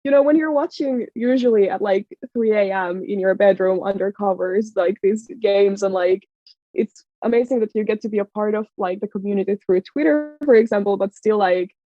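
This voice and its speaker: Excitable Finnish woman, dull lo-fi sound. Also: mid-range voice, normal speed, no room sound. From Finland. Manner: excitable, laughs often, many fillers and restarts. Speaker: podcast guest. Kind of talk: casual storytelling chat.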